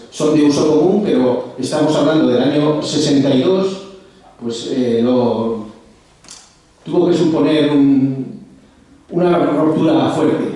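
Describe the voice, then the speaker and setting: A man's voice: mid-range voice, dark tone, far from the mic. A man introducing a lecture speaker formally, hesitating with many fillers and restarts. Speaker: lecture presenter. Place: large echoey lecture hall. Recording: echoey hall, speaker off-mic.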